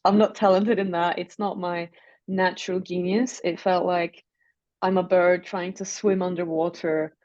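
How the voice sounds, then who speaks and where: Guest coach, Turkish accent, low mellow voice, dry dull video-call sound. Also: female voice, flat delivery, measured pace, dry recording. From Turkey. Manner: reflective, searching phrasing with fillers. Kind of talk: relaxed interview.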